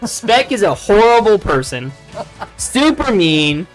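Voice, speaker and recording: Animated joking male stream commentator. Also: sits high, slow, soft background music. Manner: joking, playful teasing. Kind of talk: casual sports commentary chat.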